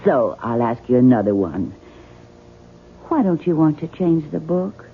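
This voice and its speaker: An actress playing an earnest, anxious young American wife in a radio drama, direct and probing in manner. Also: female voice, deep voice, big pitch swings, unhurried, heavily acted. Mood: tentative, probing. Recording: muffled, noisy 1970s radio broadcast.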